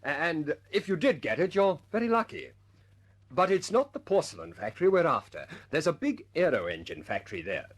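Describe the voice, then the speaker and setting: Old radio war drama: earnest squadron leader, higher-pitched, husky, brisk and good-humoured. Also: male voice, sing-song. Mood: brisk, jovial. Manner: hesitant stammers when pressing a point, otherwise commanding. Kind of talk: vintage radio drama acting.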